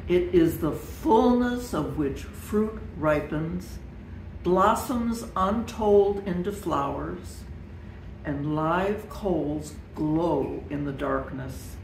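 Slow-reading older woman, roomy sound. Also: sits low, animated, gravelly, a little mellow. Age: 70s or older. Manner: measured, deliberate phrasing. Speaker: congregation member reading. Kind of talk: solemn scripted devotional reading. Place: living room at home, indoors. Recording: roomy, distant mic, faint noise.

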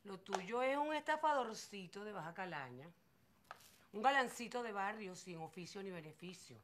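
A woman's voice: medium pitch, expressive, moderate pace, close to an everyday voice. An actress playing a snobbish, cutting upper-class woman with a scornful, drawling manner. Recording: crowd noise behind.

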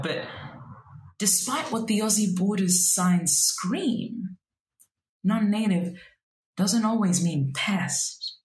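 A woman in her thirties, a native Arabic speaker, reading her own poem aloud in a slow, measured, reflective way at a desk webcam in a small room. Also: fairly low pitch, a little mellow, roomy sound, poor audio. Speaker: poet. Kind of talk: spoken word poetry reading.

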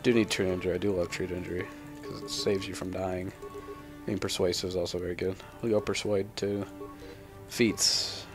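A man's voice: deep voice, little pitch movement, moderate pace, music in the background. A young man giving casual gameplay commentary in a sweary, irreverent, rambling manner. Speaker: gamer, let's player.

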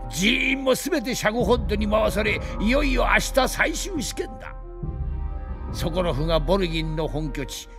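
An embittered, drunken old weapons designer in a video game drama. His high-pitched voice has a creaky edge and swings widely as he boasts and rants with theatrical indignation. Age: older. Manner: theatrical, grandiose, indignant ranting.

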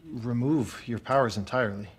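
A man's voice: mid-range voice, moderate pace, slightly rough, close to an everyday voice. An actor playing a concerned, awkward mentor in a cinematic video game, earnest and hesitant in his delivery. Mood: earnest, explaining. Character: concerned, awkward adult male mentor with a secret plan.

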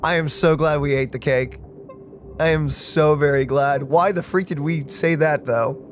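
A streamer giving casual, excitable, joking commentary during a video game playthrough. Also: medium pitch, measured pace, slight background noise. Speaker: let's play streamer reading game text aloud.

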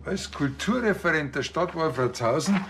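An actor playing a cheeky, slightly naive police officer with a Bavarian accent in a TV crime comedy. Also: male voice, on the high side, lively intonation, normal speed, noisy background. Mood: cheeky, surprised.

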